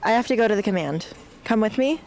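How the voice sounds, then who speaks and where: A woman's voice: medium pitch, animated, fast talker, darkish tone, slight background noise, natural voice. A woman playing a troubled, determined teenage girl rebel in an amateur radio drama. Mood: casual.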